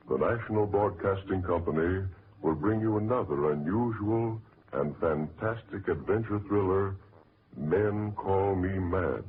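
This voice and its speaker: A very deep-voiced American man working as a 1940s radio announcer. He reads formally and with measured delivery, over a muffled old broadcast recording with some noise.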